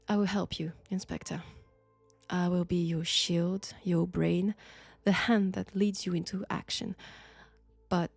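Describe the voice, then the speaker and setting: A woman with a French accent playing a sinister, flirtatious archaeology professor possessed by an ancient god, deliberate and teasing in an intimate audio roleplay. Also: fairly low pitch, fairly even intonation, vocal fry, husky, no echo, soft background music, heavily acted. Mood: grave, protective. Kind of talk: slow, intimate roleplay acting.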